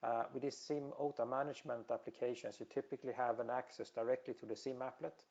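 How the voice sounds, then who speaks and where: A man's voice: mid-range voice, level tone, fast, gravelly, darkish tone, dull audio. A man from Sweden with a Swedish accent, giving a technical presentation with many fillers and restarts. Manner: hesitant, many fillers and repeated words. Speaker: industry presenter.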